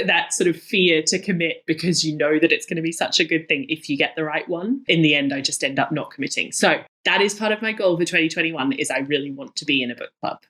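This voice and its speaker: A woman in her thirties with a low-pitched voice, talking as a guest in a conversational interview. She is effusive and leans on repeated words to press her point. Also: a bit bright. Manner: effusive, emphatic, often repeats words for emphasis. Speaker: guest, cookbook author.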